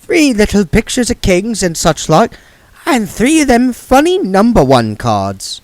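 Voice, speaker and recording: A man's voice: high voice, huge pitch swings, measured pace, no room sound, voice pushed up, full character voice. A man doing a sly old witch, falsely sweet. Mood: falsely innocent. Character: old woman witch, sly, innocent act.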